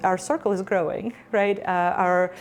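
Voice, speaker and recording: Gravelly low woman faculty mentor. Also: steady tone, moderate pace. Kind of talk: interview testimony. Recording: music bed underneath.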